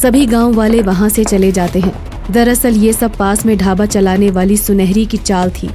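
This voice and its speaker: A woman's voice: medium pitch, steady tone, normal speed, mellow, faint music behind. A woman narrating a scripted story as its storyteller.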